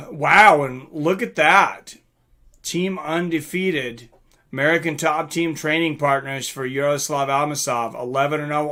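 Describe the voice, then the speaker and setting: A man's voice: medium pitch, sharp tone. A man hosting a live stream in a casual, rambling, mildly profane way, chatting and answering viewer comments.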